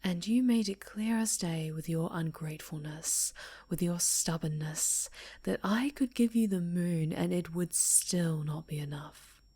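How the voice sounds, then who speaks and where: A woman acts out a sinister winged superhero villainess for an ASMR drama. The voice is breathy and husky, with slow, deliberate delivery. The character is possessive and obsessive, speaking with menacing tenderness and theatrical intensity. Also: lowish voice, mellow. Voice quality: breathy, whispery. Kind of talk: ASMR roleplay monologue, theatrical voice acting.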